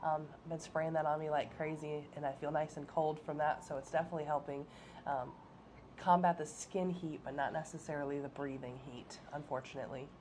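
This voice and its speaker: A woman with a low voice and a clogged, stuffed-up nose talks through her travel day in an easy, rambling way, filling pauses with ums and uhs. A faint hum of ambience sits behind her. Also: fairly even intonation, a little mellow. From American Midwest. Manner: chatty, frequent ums and uhs. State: stuffed-up nose from a head cold. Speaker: travel vlog co-host. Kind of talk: casual travel vlog chat.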